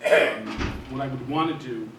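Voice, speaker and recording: A man in his thirties from Israel giving a talk to an audience, pausing often and restarting sentences. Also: mid-range voice, very noisy. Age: 30s. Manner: hesitant, many fillers and restarts. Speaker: guest speaker. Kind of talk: public talk. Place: lecture hall. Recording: echoey hall from far off.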